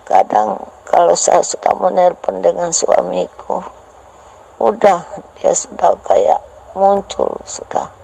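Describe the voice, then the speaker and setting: A woman's voice: on the low side, very animated, takes it slowly, gravelly, mellow, poor audio. A woman in a voice message, hesitantly telling a teacher about her personal experiences and asking for advice.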